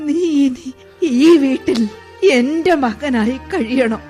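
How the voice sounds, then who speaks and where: A woman playing a lonely, widowed old mother in a radio play, talking slowly and tearfully with soft sighs. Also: high voice, faint music behind. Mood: tender. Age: older. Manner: slow, tearful, tender speech with long sighs. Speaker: radio drama actress.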